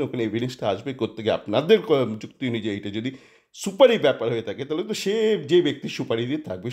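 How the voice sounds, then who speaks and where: A man's voice: medium pitch, a little mellow. A man telling an improvised story and commenting on it informally, often repeating and correcting his phrases. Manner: halting, repetitive phrasing with frequent self-corrections.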